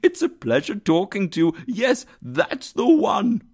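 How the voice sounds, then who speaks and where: A giddy old miser reborn, acted out by a man, gushing with glee. His pitch leaps high and swings widely as he exclaims like a merry schoolboy in a storybook tale. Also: huge pitch swings, unhurried, gravelly, a little mellow, slightly rough, heavily acted. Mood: eager glee. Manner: exclamatory, gleeful, effusive. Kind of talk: dramatised storybook reading.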